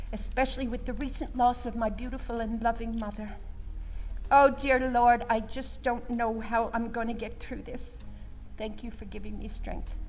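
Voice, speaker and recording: A woman's voice: a little high, fairly bright tone, low-quality recording. A woman playing a pious, melodramatic, judgmental storyteller in a theatrical comic monologue, with a pleading, exaggerated delivery.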